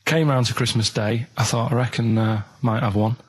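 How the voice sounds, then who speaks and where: A man's voice: medium pitch, fairly even intonation, husky. Rambling Mancunian man telling a story. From England. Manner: rambling, halting, plain-spoken anecdotes with many restarts. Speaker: radio show regular. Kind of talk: casual radio storytelling.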